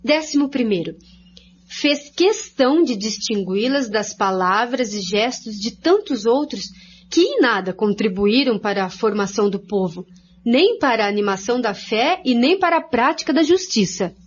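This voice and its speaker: A woman's voice: fairly high pitch, lively intonation, moderate pace. A woman reads a scripted religious narration as a series of points. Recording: dull lo-fi audio with faint hum.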